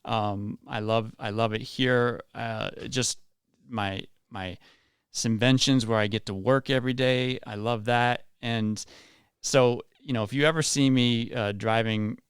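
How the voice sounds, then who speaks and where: Man around forty, from West Virginia, earnest, effusive, smooth resonant voice, dry studio sound. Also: mid-range voice, fairly even intonation, a little slow, no echo. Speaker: guest, community leader. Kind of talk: radio interview. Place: radio studio.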